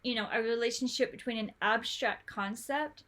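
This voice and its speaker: A woman's voice: on the high side, moderate pace, a bit bright. A woman coaching an online study group, talking in a chatty, encouraging way full of fillers and restarts over a video call. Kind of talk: online tutoring session.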